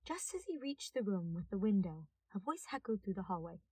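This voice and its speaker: A woman's voice: mid-range voice, sing-song, brisk, a little mellow, slightly rough, recorded from a distance. A woman reading scripted fiction aloud as a narrator. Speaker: podfic narrator.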